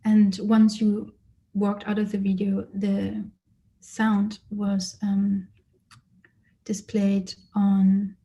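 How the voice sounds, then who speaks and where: A woman who grew up in Germany, an artist giving a lecture about her exhibition and speaking thoughtfully with frequent pauses and fillers. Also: mid-range voice, monotone, mellow, rough recording. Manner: hesitant, many fillers and restarts, thoughtful. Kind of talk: lecture presentation. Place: lecture or online presentation.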